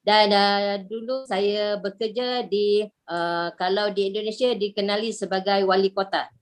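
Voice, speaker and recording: A retired woman in her sixties from Malaysia with a Malaysian Malay accent, introducing herself on a video call in a halting way, full of fillers. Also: mid-range voice, fairly even intonation. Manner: slow, halting, many fillers. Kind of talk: online group video call.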